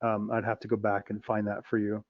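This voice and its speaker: Man explaining software step by step. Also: lowish voice, monotone, fairly quick, a little hiss or hum. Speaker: co-host. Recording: dull, dry lo-fi audio.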